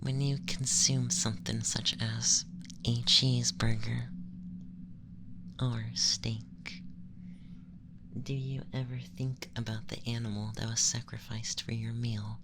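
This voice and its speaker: A man voicing a young, effeminate, clinically curious alien abductor in a soft, hushed, breathy ASMR roleplay, questioning formally in a measured, detached way. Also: medium pitch, little pitch movement, noisy background. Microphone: close microphone.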